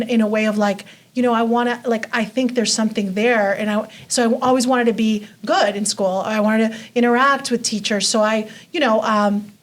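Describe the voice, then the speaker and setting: Chatty woman guest telling her story. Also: medium pitch. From Mexico. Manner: chatty, lots of fillers like "you know" and "like". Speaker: interview guest. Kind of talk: casual storytelling.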